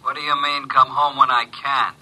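An actor playing a wisecracking, flippant detective's assistant with deadpan cheek, in old-time radio drama style. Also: male voice, medium pitch, normal speed, rough recording. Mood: put out.

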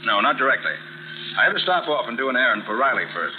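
An actor playing a confident, kindly master detective in a brisk 1940s radio style, on a noisy, tinny old recording. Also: male voice, mid-range voice, very animated, lightly acted. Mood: matter-of-fact.

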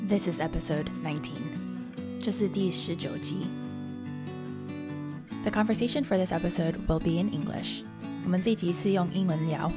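A woman's voice: on the low side, moderate pace, pauses often. Woman hosting a podcast, music behind. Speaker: podcast host. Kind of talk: podcast introduction and interview.

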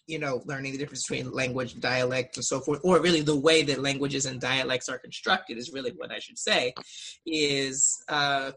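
A man's voice: mid-range voice, fairly even intonation, normal speed, bright, forceful tone, low-quality recording. A man on a video call in a class discussion, speaking thoughtfully with frequent fillers and restarts. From United States. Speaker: student in a linguistics discussion.

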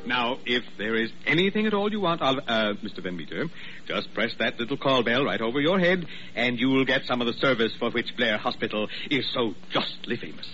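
An actor playing a fussy, anxious hospital administrator doctor in old radio drama, deferential and emphatic. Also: male voice, medium pitch, animated, brisk, bright, forceful tone, faint music behind. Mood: obsequious. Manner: fussy, fretful, emphatic repetition. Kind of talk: 1940s radio drama acting. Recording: muffled old radio recording, faint noise.

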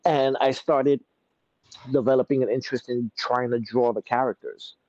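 A man's voice: mid-range voice, moderate pace, dry recording, dull audio. A man in his thirties with a New York accent, chatting loosely with many fillers as a guest on a video call. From Brooklyn, New York. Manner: relaxed, rambling with many fillers. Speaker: guest artist. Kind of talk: casual interview chat. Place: home in an apartment, city buildings behind.

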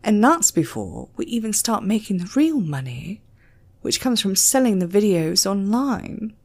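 A woman's voice: mid-range voice, sing-song, a little slow, a little mellow. A British woman voicing a domineering, taunting young woman in an audio story. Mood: smug.

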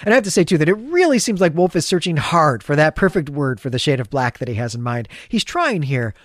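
A man's voice: on the high side, big pitch swings, quick pace, no echo, studio quality. A man co-hosting a literary recap podcast, articulate and enthusiastic as he talks through a story. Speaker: podcast co-host. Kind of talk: literary recap discussion, semi-prepared.

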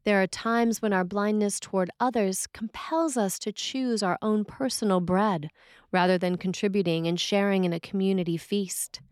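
Female sermon reader, measured and reflective, mid pitch, very dry close recording. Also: fairly even intonation, a little mellow, no room sound. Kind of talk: scripted sermon reading.

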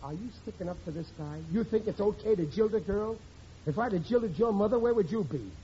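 A man's voice: sits high, lively intonation, fairly quick, dark tone. An actor playing a blustering, indignant working-class family man in a 1940s radio sitcom. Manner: blustery, mangles phrases. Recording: dull, noisy old radio recording.

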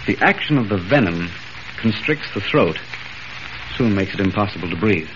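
Guy acting a solemn deep doctor, old radio drama, lots of noise. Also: natural voice. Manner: measured, solemn. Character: grave, resigned hospital doctor. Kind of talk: 1940s radio serial acting. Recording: noisy, muffled vintage radio recording.

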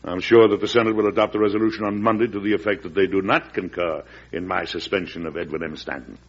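An actor playing a beleaguered, resolute middle-aged American president in a classic radio drama, speaking formally and earnestly with an American accent. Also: male voice, low voice, steady tone, fast talker. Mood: grave, hopeful. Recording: muffled old radio recording.

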